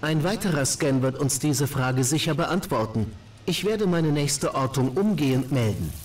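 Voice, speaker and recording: A man's voice: mid-range voice, animated, normal speed, recorded from a distance, lightly acted. A man playing a cold, analytical robot companion in a video game, speaking in clipped, formal, technical phrases. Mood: flat, analytical.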